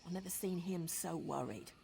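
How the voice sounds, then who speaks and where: A woman's voice: on the low side, lively intonation, moderate pace, gravelly, a crowd in the background, poor audio. A woman playing a frightened, earnest pilgrim villager in a fantasy game, with an anxious, pleading manner. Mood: worried, anxious. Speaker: quest-giving game character. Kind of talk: scripted fantasy game dialogue.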